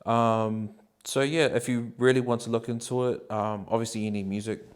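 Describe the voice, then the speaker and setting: A man, answering interview questions in a relaxed, rambling way with many ums and likes. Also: medium pitch, normal speed, clear, resonant tone. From New Zealand. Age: 30s.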